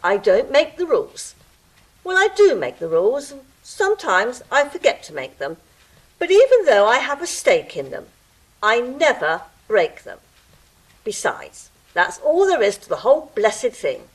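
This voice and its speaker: Woman playing a high-pitched, swooping, officious gatekeeper creature. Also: huge pitch swings, measured pace, husky, heavily acted, low-quality recording. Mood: officious, warning. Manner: pompous, pedantic, theatrical. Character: fussy, officious ticket-eating gatekeeper creature. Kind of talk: stage-style audio drama acting.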